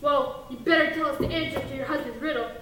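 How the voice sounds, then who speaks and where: A woman voicing a pushy, forceful character in a puppet show. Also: high-pitched, quick pace. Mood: threatening. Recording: very echoey, noisy, off mic.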